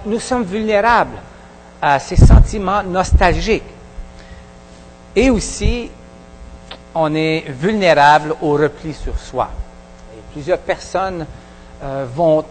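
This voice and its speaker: A pastor with a Québécois French accent preaching a sermon in a halting way, with many pauses and restarts. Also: male voice, fairly high pitch, in a large hall, a little hiss or hum, poor audio. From Quebec. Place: church hall.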